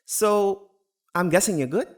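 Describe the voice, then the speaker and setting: An actress playing a concerned, nosy neighbor in an audio drama, chatty and probing with an apologetic streak. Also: female voice, medium pitch, measured pace, lightly acted. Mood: sheepish, concerned. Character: nosy, concerned next-door neighbor woman.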